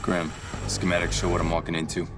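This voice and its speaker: An actor playing a gruff veteran covert field agent in a game, terse and controlled. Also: male voice, sits low, flat delivery, brisk, natural voice. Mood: terse, focused. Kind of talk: dramatic game voice acting. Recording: heavy background noise of vehicles.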